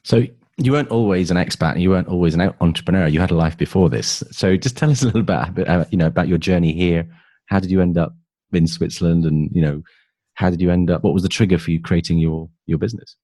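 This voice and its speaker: A man's voice: sits low, fast talker, dark tone, no room sound, clean studio sound. A man hosting an interview, asking conversational questions with frequent stumbles and restarts. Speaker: interview host.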